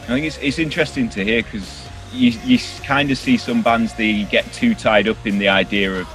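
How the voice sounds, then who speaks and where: A man's voice: fairly low pitch, steady tone, normal speed, a bit bright, poor audio. A man asking questions in a casual music interview, informal and tentative in his phrasing.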